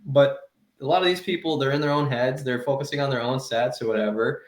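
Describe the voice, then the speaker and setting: A man in his thirties co-hosting a comedy podcast, ranting in animated, profane casual chat and laughing as he talks. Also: medium pitch, a little fast, roomy sound. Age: 30s.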